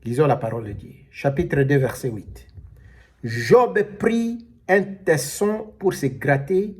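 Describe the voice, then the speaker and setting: A man preaching a sermon in a slow, drawn-out, emphatic way, now and then calling out alleluia. His voice sits a little high for a man and has a husky edge. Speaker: pastor.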